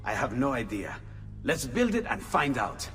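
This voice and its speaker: An actor playing an excitable, inventive Venetian man in a video game, speaking expressively with an Italian accent. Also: mid-range voice, normal speed, long reverb tail, distant mic, over music. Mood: fascinated. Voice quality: expressive, animated. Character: excitable, inventive Venetian male artist friend. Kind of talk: video game cutscene acting.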